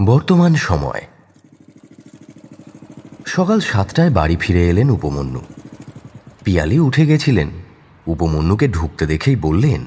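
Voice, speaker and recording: Deep-voiced man narrating a thriller. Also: sing-song, unhurried, pauses often, dark tone, a little hiss or hum. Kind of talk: scripted audio story narration, dramatic.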